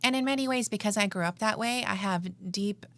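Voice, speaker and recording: Thoughtful woman guest, halting speech. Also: mid-range voice, a bit bright, no room sound. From born in the former Soviet Union, raised in California. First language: Russian. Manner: thoughtful, hesitant with many fillers and restarts. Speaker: guest, yoga teacher. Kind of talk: interview answers.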